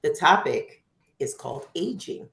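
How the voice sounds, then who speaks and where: A woman's voice: low voice, very animated, measured pace, vocal fry, fairly bright tone, echoey room. A woman in her fifties hosting a casual group chat, chatty and laughing often. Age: 50s. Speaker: host.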